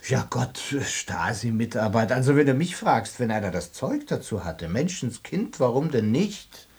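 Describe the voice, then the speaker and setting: A tipsy matey sales boss, raspy, swoops around a lot, bragging, radio play. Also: male voice, mid-range voice, big pitch swings, moderate pace, a little mellow, heavily acted. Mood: chummy, tipsy. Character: chummy, boastful West German sales boss, talkative and tipsy.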